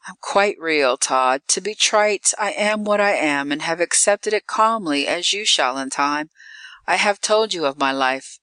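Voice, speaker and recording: A narrator voicing a calm, worldly female vampire who speaks with dry, possessive condescension. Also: medium pitch, measured pace, smooth, no echo. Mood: coolly resigned.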